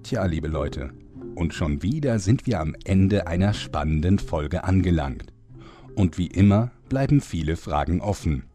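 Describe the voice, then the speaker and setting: An actor playing the wry narrator of a fantasy soap parody in scripted, mock-solemn announcer style. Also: male voice, low voice, animated, a little slow, warm tone, faint music behind. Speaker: narrator of a comedy audio drama.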